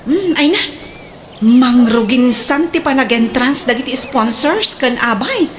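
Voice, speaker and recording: Woman as opinionated friend, Ilocano accent, high, very animated, chatty, radio drama, faint noise. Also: a little slow. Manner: chatty, expressive. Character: lively working bride's friend, chatty, opinionated.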